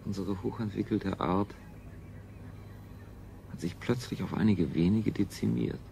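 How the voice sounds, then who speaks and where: An actor playing a cool, rational scientist in a science fiction radio play, formal and clinical. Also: male voice, lowish voice, a little slow, gravelly, mellow. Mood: coolly rational. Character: cool, rational male scientist, rejecting emotion. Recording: faint noise, 1970s radio production.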